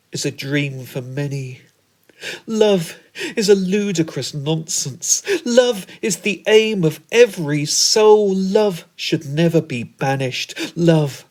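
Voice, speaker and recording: A man reciting a scripted comic story poem in a measured, theatrical way. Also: fairly high pitch, a little mellow. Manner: measured, theatrical, deliberate delivery. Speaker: spoken word performer, storyteller. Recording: music under the voice.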